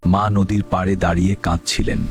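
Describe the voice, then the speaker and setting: A male narrator delivers a scripted dramatic tale. His voice sits fairly low. Music plays prominently behind him. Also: level tone, fairly quick. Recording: loud background music.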